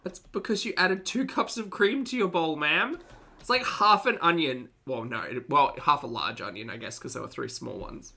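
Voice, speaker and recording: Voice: mid-range voice, normal speed, vocal fry, pushed and bright. A reaction commentator talking casually and off the cuff, with an incredulous, amused and sweary manner.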